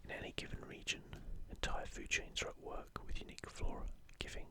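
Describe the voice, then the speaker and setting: Softly whispering man, ASMR style. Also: a little fast. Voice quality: soft, breathy unvoiced whisper. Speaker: host of an ASMR gaming channel. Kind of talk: whispered ASMR, casual show-and-tell of an art book.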